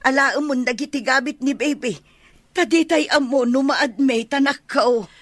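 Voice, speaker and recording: A woman's voice: sits high, a little slow, a bit bright, no room sound. A woman playing a caring, fussing older mother and new grandmother in a radio drama, with a Filipino accent. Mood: concerned.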